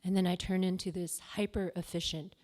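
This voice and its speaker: A woman's voice: fairly low pitch, little pitch movement, a little fast. A young Canadian-born woman performing confessional stand-up comedy at a live venue, self-deprecating and playful. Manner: self-deprecating, playful, with sudden loud bursts.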